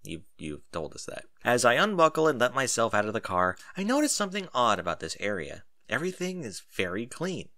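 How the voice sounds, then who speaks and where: A man narrating a first-person story in an animated audiobook style. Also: mid-range voice, brisk, hardly any pauses, dry recording, studio quality.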